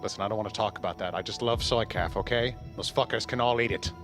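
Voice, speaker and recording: A man's voice: lowish voice, fast talker, a bit bright, soft background music, lightly acted. A man playing a flippant, foul-mouthed outlaw who defies the corporations in a tabletop roleplay. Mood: cocky, evasive. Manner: flippant, profane, defiant. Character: cocky, crude anti-corporate male outlaw who loves fire.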